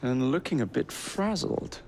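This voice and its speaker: An actor playing a cocky, roguish young gang leader in a video game, wry and blunt. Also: male voice, medium pitch, lively intonation, measured pace, a little mellow, slight background noise. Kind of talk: video game cutscene acting.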